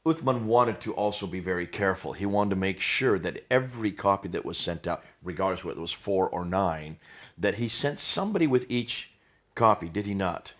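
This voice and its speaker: Deep-voiced American male host who steers the talk with rhetorical questions. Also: expressive, brisk. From United States. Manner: leading with rhetorical tag questions. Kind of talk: conversational discussion.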